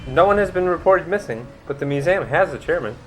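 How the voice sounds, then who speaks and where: A man's voice: a little high, lively intonation, brisk, slight background noise, lightly acted, rough recording. A man playing a wry, inquisitive young masked sidekick in a radio drama, with a casual way of talking. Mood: puzzled.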